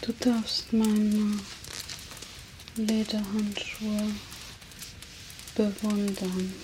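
A woman voicing a seductive, menacing female vampire in an ASMR roleplay, speaking in slow, teasing whispers. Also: mid-range voice, flat delivery, background noise.

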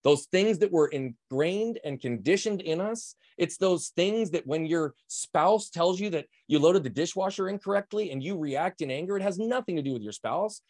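Fast, loud, high-energy man. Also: expressive, no echo, clean studio sound. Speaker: guest, performance coach. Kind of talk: podcast interview, emphatic explaining.

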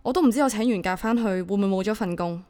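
A woman's voice: medium pitch, very fast, dark tone, close to an everyday voice. An actress playing a devoted, vulnerable young single mother in a Hong Kong Cantonese audio drama, speaking in a heartfelt way. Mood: troubled, reflective. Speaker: voice actor. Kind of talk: audio drama acting with first-person narration.

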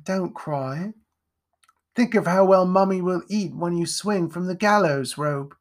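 A man voicing a cruel, scheming lower-class mother who coaxes and mocks her son. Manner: coaxing and mocking.

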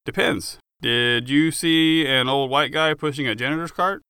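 Casting: male narrator as a stubborn, bewildered wounded gunfighter, audiobook style, bright and clear, wry and defensive. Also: on the high side, a little slow, no echo, clean studio sound.

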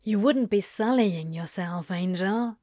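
Woman playing a laid-back, slow-talking male demon. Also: medium pitch, dark tone, no echo, clean studio sound, close to an everyday voice. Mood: coaxing. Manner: casual, coaxing, teasing.

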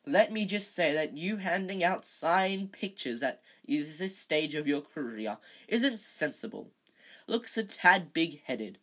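A woman voicing a vain, jovial male teacher in a storybook, booming and self-satisfied. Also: a bit bright, heavily acted.

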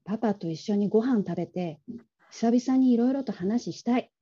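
A woman's voice: on the high side, normal speed, warm tone, a little hiss or hum, close to an everyday voice. A woman playing a young adult daughter who casually teases and wheedles her father in a radio drama. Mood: pleading. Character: coaxing, teasing grown daughter pleading with her father. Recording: dull lo-fi audio.

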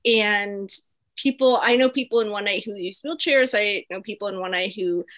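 A woman in her twenties, a podcast guest, explaining things in a relaxed way with frequent ums. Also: medium pitch, moderate pace, dull audio. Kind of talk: casual interview answers.

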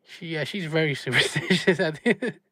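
A man hosts a relaxed chat, his voice bright and a touch high. He rambles with fillers and laughs easily, recorded dry and close. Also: normal speed, no echo. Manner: laid-back, many fillers, laughs often. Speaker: podcast host. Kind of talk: casual chat.

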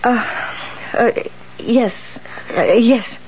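An actress playing an anxious, fretful rooming-house landlady with a breathy voice in a 1970s radio drama. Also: a little high, darkish tone, exaggerated voice. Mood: frightened, halting. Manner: fluttery, halting, anxious. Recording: dull 1970s radio recording.

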